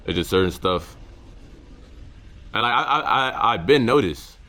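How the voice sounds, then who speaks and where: Deep-voiced young man, halting and slangy. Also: animated, bright, forceful tone. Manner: slangy, halting, repeats words often. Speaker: content creator. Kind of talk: reflective casual vlog monologue.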